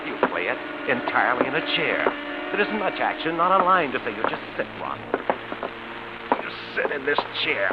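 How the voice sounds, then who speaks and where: An actor playing an embittered, falsely genial schemer with quiet menace, savoring his words in 1940s radio suspense style. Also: medium pitch, huge pitch swings, normal speed. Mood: gloating menace. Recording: muffled, hissy vintage radio recording.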